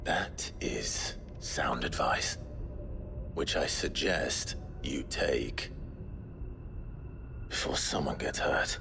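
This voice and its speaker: Grave fantasy warrior, very low pitch, terse, solemn, video game cutscene, loud score underneath. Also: male voice, drawn-out words, vocal fry, pauses often, over music, heavily acted. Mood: stern, wondering.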